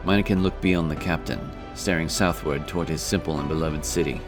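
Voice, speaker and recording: A man's voice: very deep voice, steady tone, fairly quick, over music. A man reading scripted narration for a fantasy story as an audiobook narrator.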